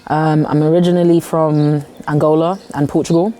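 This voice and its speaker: A woman's voice: deep voice, normal speed. A woman, a recording artist talking casually in an interview, with lots of "like" and "um" fillers. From South London. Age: 20s. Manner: relaxed, many "like" and "um" fillers. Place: villa.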